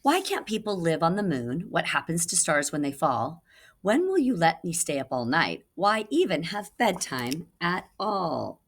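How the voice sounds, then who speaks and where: A woman reads a storybook aloud to young children in a low-pitched voice. Her delivery is very animated, rising and dipping widely, with dramatic stress on key words in the manner of a reading teacher. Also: a little slow. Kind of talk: expressive children's read-aloud.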